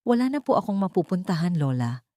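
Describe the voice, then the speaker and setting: A wronged, despairing mother in an audio drama, voiced by a woman. She sounds low, pleading and sorrowful, with no room echo around her. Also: very animated, fast, mellow, studio quality. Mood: despairing, tearful.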